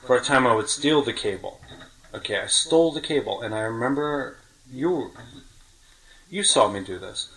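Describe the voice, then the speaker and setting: A middle-aged man with a bright, forward voice talks like a podcast host swapping memories with a friend. His delivery is chatty and full of small detail, casual and conversational throughout. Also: on the low side, poor audio.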